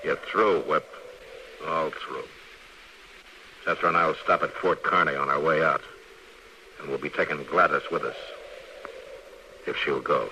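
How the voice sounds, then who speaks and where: An actor playing a stern, weary middle-aged US Marshal of the Old West in a 1950s American radio western, speaking gravely and bluntly with an American accent. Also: male voice, very deep voice, fairly even intonation, unhurried, pauses often. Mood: grim, accusing. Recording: noisy vintage radio recording.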